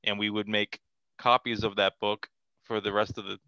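A middle-aged man with a slightly low, bright voice talks casually as a podcast guest. His sound is dry and close on a headset, and he often restarts his phrases. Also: level tone, normal speed, dry recording, muffled recording. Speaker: podcast guest, comic artist. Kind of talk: casual conversational interview. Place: small cluttered room at home, video call. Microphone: headset on a video call.

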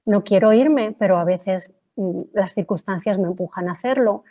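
A woman giving an academic lecture, pausing often with fillers and restarts and asking rhetorical questions. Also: mid-range voice, dark tone. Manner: hesitant, many fillers and restarts; reads quotes aloud, asks rhetorical questions.

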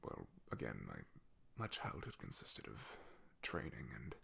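A man playing a young, humble, devoted knight in an ASMR roleplay, soft-spoken and halting, with a breathy, gravelly half-whisper. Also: very deep voice, wildly swooping pitch, exaggerated voice. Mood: shy, hesitant.

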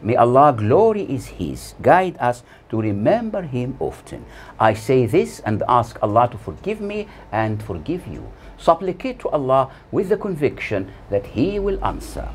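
A man in his sixties delivering a scripted sermon as a preacher, solemn and deliberate, with measured phrases and pauses. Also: medium pitch, huge pitch swings, slight background noise. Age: 60s. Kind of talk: scripted sermon read from notes. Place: small plain room indoors. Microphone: lapel mic.

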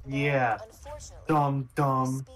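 Slow-talking teasing male gamer. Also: medium pitch, flat delivery, fairly bright tone. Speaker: gamer in a co-op session. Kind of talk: casual gaming chat.

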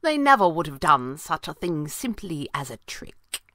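A woman acts out a short-tempered gang boss in a storybook thriller. He schemes and barks commands with emphatic, widely swinging pitch, recorded dry. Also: sing-song, moderate pace, sharp tone, no echo, heavily acted. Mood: scheming. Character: scheming, short-tempered male villain leading a band of thieves.

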